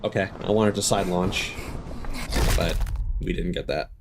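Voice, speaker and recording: A man giving casual live commentary as he plays a video game, talking haltingly as he thinks aloud. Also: deep voice, noisy background.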